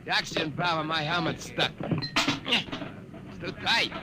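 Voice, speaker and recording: An actor playing a dutiful but grumbling military crewman in 1950s radio drama style. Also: slow. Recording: old radio recording, loud engine rumble.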